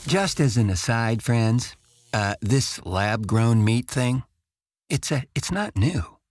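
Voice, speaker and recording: A man with an American accent delivering quirky comic radio commentary in drawn-out asides. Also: mid-range voice, animated.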